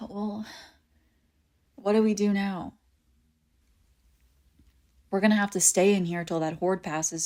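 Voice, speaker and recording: A woman's voice: mid-range voice, close to an everyday voice. Woman acting a clingy, emotional apocalypse survivor friend. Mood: subdued, resigned. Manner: emotional, pleading, swinging between insistent and hushed. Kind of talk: scripted audio roleplay acting, intimate and conversational.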